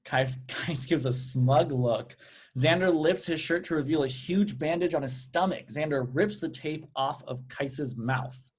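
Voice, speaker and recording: A man's voice: fairly high pitch, a little slow, breathy edge. A man reading out stage directions as scripted narration at a table read.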